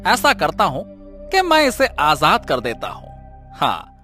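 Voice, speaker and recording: A man's voice: a little high, huge pitch swings, a little slow, pushed and bright, soft background music, exaggerated voice. A man playing a kind-hearted young prince in a cartoon story, innocent and full of wonder. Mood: admiring.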